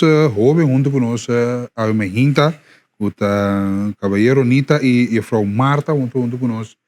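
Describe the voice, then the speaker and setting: Chatty Caribbean morning radio host, man. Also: medium pitch. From Curaçao. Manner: lively, repetitive, halting with fillers. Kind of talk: casual live radio chat.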